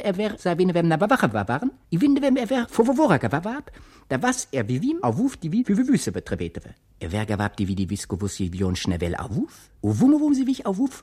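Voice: medium pitch, warm tone, husky. A performer in a radio play reciting in an invented nonsense language, with exaggerated articulation and theatrical delivery.

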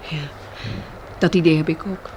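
Woman acting a gentle relieved survivor lady in an old radio play, slightly noisy recording. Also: deep voice, level tone, moderate pace, lightly acted. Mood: tender, wistful.

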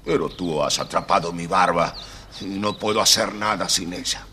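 An actor playing a little old bearded keeper of a magic castle in an audio storybook, pleading and theatrical in his drawn-out delivery. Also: sits low, bright, forceful tone, slight background noise. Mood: grave, resigned. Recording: long reverb, faint music behind.

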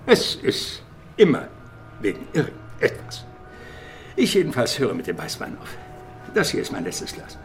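An actor playing a dry, precise, grumbling police deputy in a German radio crime drama. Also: male voice, medium pitch, wildly swooping pitch, measured pace, long pauses, faint music behind. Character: erudite, grumbling, wine-drinking police deputy.

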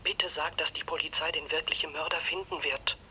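Anxious radio-thriller wife, breathy and pleading, distant telephone sound with background murmur. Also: female voice, sits low, fairly even intonation, a little fast, a crowd in the background. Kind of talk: 1980s German radio crime drama acting.

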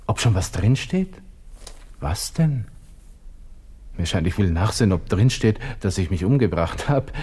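An actor playing a young, vain, agitated army lieutenant with a Viennese accent, muttering to himself in a radio drama monologue. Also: male voice, low-pitched, dark tone, a little hiss or hum. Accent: Austrian, Viennese. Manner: muttering to himself, shifting abruptly from musing to exclaiming.

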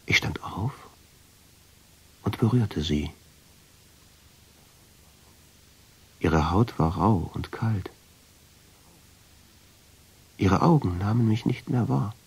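Radio drama narrator: brooding, lovestruck man, low and gravelly, very breathy, intense and deliberate delivery. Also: darkish tone, heavily acted. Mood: hushed, tender. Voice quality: husky, gravelly, often sinking into whisper. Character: brooding, lovestruck male first-person narrator.

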